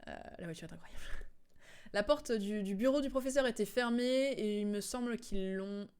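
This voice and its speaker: A woman streams casual commentary over game cutscenes. Her voice sits at an average pitch. She recaps in a loose, halting ramble and sounds amused. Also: a little mellow, clear, resonant tone.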